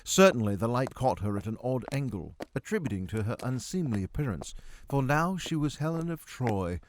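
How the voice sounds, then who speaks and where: A man's voice: medium pitch, big pitch swings, moderate pace, slight background noise. A man narrating a dramatic first-person story as a miserly, befuddled middle-aged doctor, in a theatrical, literary delivery. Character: miserly, befuddled 45-year-old male doctor recounting his own tale.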